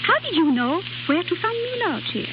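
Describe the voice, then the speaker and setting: French cabaret singer girl, romantic and dramatic, high voice, muffled noisy old 1930s radio. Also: sing-song, brisk, heavily acted. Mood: agitated, urgent. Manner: theatrical, foreign-tinged phrasing. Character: passionate, dramatic young French nightclub singer in love.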